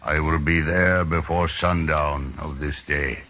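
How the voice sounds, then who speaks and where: Dignified, grieving chief, terse and formal, forceful tone, dull vintage radio sound. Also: male voice, a bass voice, fairly even intonation, a little slow, exaggerated voice. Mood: solemn resolve. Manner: terse, solemn, stately, formal phrasing. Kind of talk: 1950s radio drama acting. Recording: dull old radio recording.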